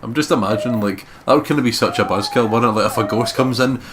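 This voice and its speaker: A man's voice: mid-range voice, fast, music in the background. A man giving casual, joking let's play commentary on a game in a home recording. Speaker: let's player. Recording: clearly noisy home recording.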